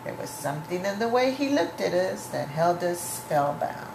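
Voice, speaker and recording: A woman reading a children's story aloud with deliberate, dramatic phrasing. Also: on the low side, very animated. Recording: echoey room with clear background noise.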